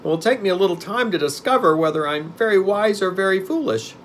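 A man's voice: high voice, animated, fast, a little hiss or hum, voice pushed up. A man voicing a grave, naive, newly living pumpkin-headed man in a storybook reading. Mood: earnest. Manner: grave, reproachful, earnest.

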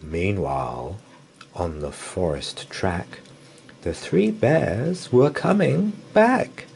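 A man reading a picture book aloud as a bedtime story, in an expressive, sing-song manner. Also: low voice, very slow, vocal fry, slight background noise. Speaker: children's story reader. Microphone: camera above a picture book.

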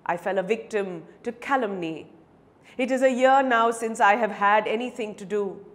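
A woman's voice: mid-range voice, lightly acted. A woman voicing a ragged, humble male beggar in a dramatic story reading, pleading and evasive. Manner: plaintive, halting when challenged.

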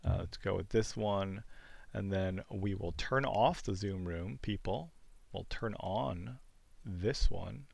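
A man giving a casual, easygoing software tutorial as host, thinking aloud as he goes. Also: deep voice, slow pace, gravelly, breathy edge, dry recording. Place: indoors at a computer, screen-recorded demo. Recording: music bed playing behind the voice. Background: music.

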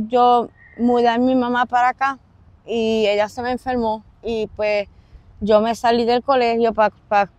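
A young woman with a Puerto Rican accent giving personal testimony in an interview, halting and pausing mid-sentence. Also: on the high side, a little mellow, dry recording. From Puerto Rico. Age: young adult. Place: outdoors in an open park.

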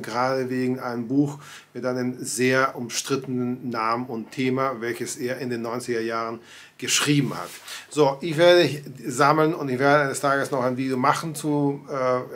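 A man's voice: medium pitch, fairly bright tone. A man in his sixties, a native Spanish speaker, giving conversational commentary to camera from his home study, with frequent fillers and restarts. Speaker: commentator on church affairs. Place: home study with bookshelves and pictures.

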